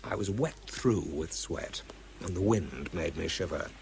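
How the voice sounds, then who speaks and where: A man's voice: deep voice, animated, a little slow, creaky, darkish tone, a little hiss or hum, full character voice. An English actor playing a world-weary, bitter man who struggles with drink, narrating and speaking tersely in a radio drama. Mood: weary, tense. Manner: dry, terse, understated.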